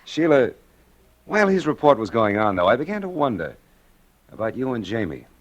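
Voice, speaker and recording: Domineering husband, animated, old radio. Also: male voice, medium pitch, brisk. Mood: probing. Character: brisk, domineering doctor husband and stern father. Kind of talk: 1940s radio drama acting. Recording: noisy vintage radio recording.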